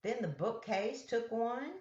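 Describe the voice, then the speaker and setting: A woman reading picture books aloud to young children as a storyteller, with deliberate, simple phrasing. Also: fairly high pitch, expressive, a little mellow. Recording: roomy, echoey, dull lo-fi sound.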